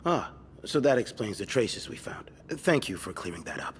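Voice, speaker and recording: A man's voice: on the low side, very animated, normal speed. A male police detective in a narrative game. He is skeptical and methodical, pressing each question pointedly. A slight ambient noise runs behind him. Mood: suspicious, probing. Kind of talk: naturalistic video game acting.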